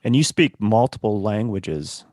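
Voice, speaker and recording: A man's voice: lowish voice, expressive, measured pace, a little mellow, dry recording, studio quality. A man co-hosting a podcast interview, asking the guest curious, leading questions. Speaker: podcast co-host.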